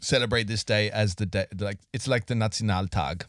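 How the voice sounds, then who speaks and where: A man's voice: fairly low pitch, moderate pace, fairly bright tone, no echo, studio quality. A man co-hosting a podcast in casual chat, joking and teasing, laughing often. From Australia. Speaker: podcast co-host.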